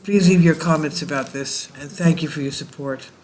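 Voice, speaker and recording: A man hosting a video, reading an old news report and adding commentary in measured, halting phrases. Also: fairly high pitch, a little mellow, slight background noise. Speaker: video host.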